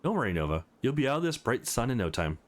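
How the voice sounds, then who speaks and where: A man's voice: medium pitch, sing-song, quick pace, natural voice. A man voicing a caring, earnest young male student hero in a visual novel, with a reassuring manner.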